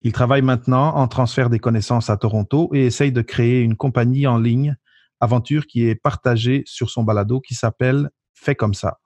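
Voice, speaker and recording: Enthusiastic podcast host, dry mic. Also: male voice, mid-range voice, steady tone, fairly quick, darkish tone, no room sound. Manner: enthusiastic, friendly, some fillers. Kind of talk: podcast intro and interviewing.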